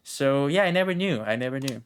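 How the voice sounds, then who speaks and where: A man's voice: mid-range voice, lively intonation, brisk, clear, resonant tone. A man chatting and rambling as he thinks aloud, commentating casually on a video game he is playing. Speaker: game streamer. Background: video game music and dialogue.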